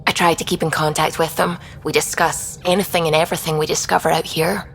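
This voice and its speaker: A woman playing a thoughtful, devout scientist on a space expedition, explaining things in a measured, reflective way in video game dialogue. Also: low voice, little pitch movement, slight background noise. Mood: thoughtful, curious. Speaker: science officer character.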